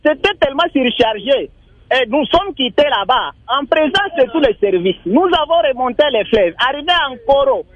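A young man from Maniema calling in to a radio show, complaining in a halting way and repeating words. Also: very high-pitched, expressive, no echo, lo-fi sound. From Maniema, Congo. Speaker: radio caller. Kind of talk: call-in comment.

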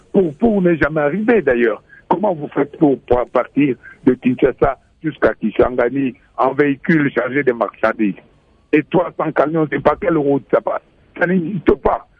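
A professor speaking as a guest on a radio show, emphatic and stammering at the start of points. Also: male voice, a little high, husky, no room sound. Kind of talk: radio interview. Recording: thin phone-like line.

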